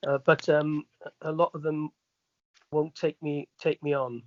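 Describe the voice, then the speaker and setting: Higher-voiced Welsh man, dull recording. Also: flat delivery, a little slow, slight background noise. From Wales. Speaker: entertainer, interview guest. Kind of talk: casual anecdotal chat.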